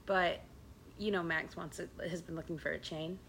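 A woman's voice: fairly low pitch, steady tone, normal speed, gravelly, fairly bright tone, slight background noise. A young woman co-hosting a podcast in casual chat, a bit sniffly from allergies, chatty and laughing. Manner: chatty, laughing, drops to a whisper for secrets. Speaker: podcast co-host.

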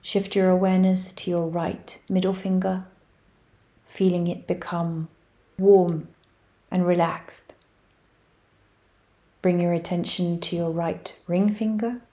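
A woman guiding a yoga nidra meditation, speaking softly and evenly with long pauses. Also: lowish voice. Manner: slow, soft and even, with long pauses. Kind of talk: guided meditation.

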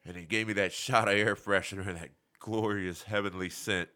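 A man with a deep voice that still carries a bright, forceful edge. He talks in an earnest, preaching tone, like a co-host sharing a testimony on a casual faith podcast. His delivery stays heartfelt and direct. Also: steady tone, fairly quick.

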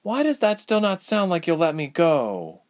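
A man voicing a frightened but defiant young woman with magic powers, in a high, strained voice. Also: wildly swooping pitch, moderate pace.

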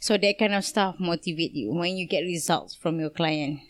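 Malaysian lady trainer, strong energetic voice, kinda low pitched, mixes malay and english. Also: normal speed. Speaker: personal trainer. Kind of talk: interview answers.

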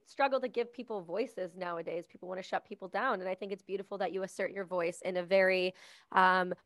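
A woman podcast host with a Canadian accent, chatting casually and warmly praising her guest. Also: medium pitch, no echo. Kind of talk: casual interview chat.

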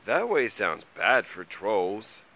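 A man's voice: fairly low pitch, sing-song, slow, sharp tone, full character voice. A man voicing a vain, fussy, fretful hairy troll in a children's story, with whiny, dramatic complaining. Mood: worried.

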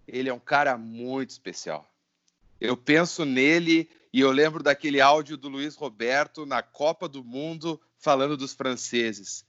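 A man's voice: on the high side, fairly bright tone, muffled recording. A man hosting a podcast, chatting in a playful, joking way and introducing his guest with theatrical flair. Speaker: podcast host. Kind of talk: casual podcast chat.

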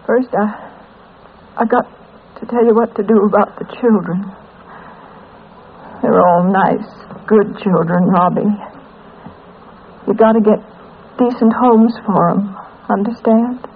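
A woman playing a dying, weary, tender mother in a 1940s radio drama, with weak, halting speech. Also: medium pitch. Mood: weary, urgent. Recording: muffled, hissy old radio recording.

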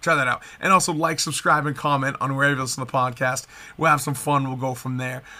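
Man, 30s, upbeat podcast host, congested and nasal, brisk bright tone. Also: mid-range voice, fairly even intonation. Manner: upbeat, chatty, rambling with restarts. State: stuffed-up nasal voice from a cold. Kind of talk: casual solo podcast chat.

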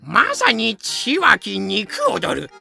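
A creaky, blustery old storyteller. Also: medium pitch, wildly swooping pitch, slow pace, sharp tone, soft background music, exaggerated voice. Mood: indignant. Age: older. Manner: old-man speech, indignant, flustered. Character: blustery old man spinning pirate tales. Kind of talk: Japanese audio drama acting.

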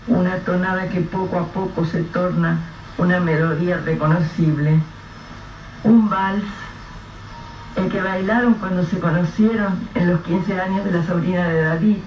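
A man with a Rioplatense accent narrating a radio drama in a measured, vivid storytelling manner. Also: high voice. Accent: Rioplatense Spanish. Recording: echoey, distant, dull, faint hiss.

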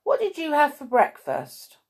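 A woman's voice: high-pitched, huge pitch swings, a little slow, darkish tone, pitched above the performer's own voice, exaggerated voice. A woman voicing a naive, polite female cow in a children's storybook, easily disgusted and full of exaggerated exclamations and questions. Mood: curious, friendly.

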